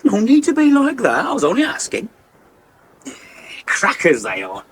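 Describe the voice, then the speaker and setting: A man's voice: high-pitched, huge pitch swings, normal speed, a bit bright, a little hiss or hum, heavily acted. An actor playing a cheeky, needling, touchy countryman in a radio soap. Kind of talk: naturalistic radio soap acting.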